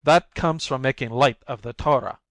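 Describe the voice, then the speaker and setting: A man's voice: mid-range voice, a little fast, a bit bright, no echo, natural voice. Hectoring storybook husband, read by a man, raised voice, dry booth. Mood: blustering. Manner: hectoring, lecturing. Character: blustering scholarly husband threatening his wife. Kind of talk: audiobook reading.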